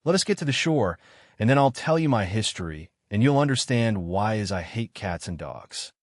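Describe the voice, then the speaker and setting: A man's voice: lowish voice, big pitch swings, moderate pace, no echo, clean studio sound. A man voicing a touchy, self-important male mouse in a storybook, with a passionate, pompous manner. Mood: offended, trembling.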